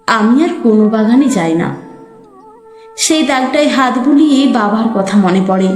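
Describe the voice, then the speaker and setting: High-pitched, plaintive village daughter, recited by a woman. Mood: pained, subdued. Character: sorrowful young village daughter, sold off, writing to mother. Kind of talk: dramatic Bengali poetry recitation as letter monologue. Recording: echoey voice over faint music. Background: soft background music.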